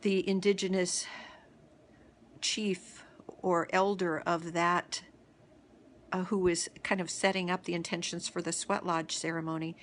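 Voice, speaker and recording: A middle-aged woman telling a reflective personal story as host of a daily video series, halting often, with pauses and restarts. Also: on the low side, little pitch movement, slight background noise.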